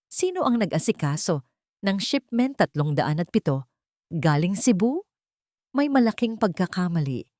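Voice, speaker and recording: A woman's voice: fairly low pitch, big pitch swings, normal speed, long pauses, darkish tone, dry recording, studio quality. A woman with a Filipino accent voicing a stern, angry office manager, formal and accusing, in an audio drama. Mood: furious.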